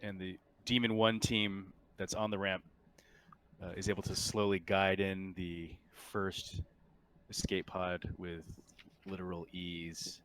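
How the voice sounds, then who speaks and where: A man narrating and refereeing a tabletop roleplaying game as game master, in a halting, deliberate way. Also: low voice, flat delivery, fairly bright tone.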